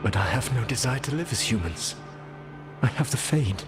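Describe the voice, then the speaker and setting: An actor playing a wary, proud ancient spirit in a fantasy video game, speaking solemnly and formally. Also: male voice, medium pitch, expressive, moderate pace, over loud music, lightly acted.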